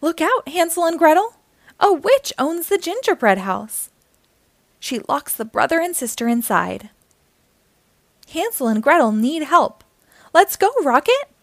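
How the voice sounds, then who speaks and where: A woman hosting a children's storytime, reading aloud in an animated, encouraging way and speaking directly to young listeners. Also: sits high, measured pace, pauses often, no echo.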